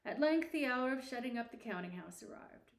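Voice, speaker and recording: A woman's voice: high voice, lively intonation, a little fast, gravelly. Woman narrating a classic story, echoey room. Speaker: audiobook narrator. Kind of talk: expressive scripted storybook narration.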